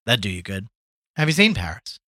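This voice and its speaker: Man acting out a storybook journalist, jovial, boastful, loud, sharp-edged, leaping intonation. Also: fairly low pitch, big pitch swings, fairly quick, heavily acted. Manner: hearty, boastful, exclamatory. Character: loud, swaggering, jovial male Irish journalist back from London.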